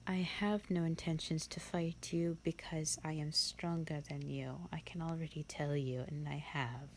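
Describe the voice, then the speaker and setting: A woman playing a bored, haughty, ancient female vampire in a roleplay audio, with a breathy, half-whispered, condescending drawl. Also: low-pitched, steady tone, normal speed, a little hiss or hum. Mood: disdainful. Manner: condescending, languid, theatrical drawl.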